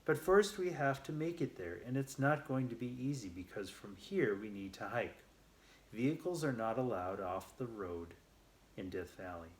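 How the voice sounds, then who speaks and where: A man's voice: medium pitch, animated, normal speed, lightly acted. Man voicing male desert guide, confident, knowing, children's storybook adventurer. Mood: warning. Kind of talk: children's storybook read aloud.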